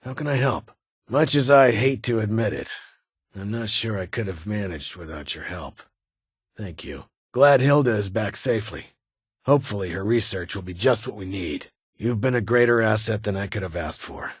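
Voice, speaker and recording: Game military leader, stern, clipped formal orders, slightly low husky voice, dry close sound. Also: male voice, lively intonation, normal speed, no room sound. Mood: measured, grateful. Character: stern military security leader, guarded but fair. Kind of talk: video game character dialogue.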